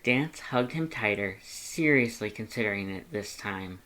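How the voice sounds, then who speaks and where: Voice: medium pitch, animated, measured pace, a bit bright, rough recording. A narrator reading a fan fiction story aloud from a script.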